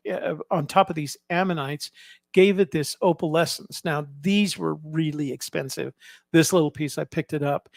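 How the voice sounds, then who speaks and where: A man giving casual, explanatory commentary as a vlog host, in a halting way, often repeating and rephrasing himself. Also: fairly high pitch, creaky, dry recording, clean studio sound. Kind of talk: casual narrated photo commentary.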